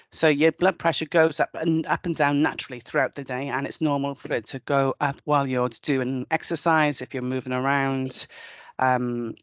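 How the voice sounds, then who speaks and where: A man's voice: on the high side, a bit bright, dry recording. A man in his forties with a Welsh accent, a nurse presenting a health webinar over a video call, hesitant with restarts and fillers.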